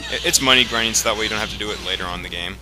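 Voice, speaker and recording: A man's voice: fairly low pitch, rapid-fire, low-quality recording. A man giving casual, rambling, explanatory commentary as host of a video game playthrough. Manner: rambling, explanatory, frequent profanity. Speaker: let's play host. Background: video game music and sound.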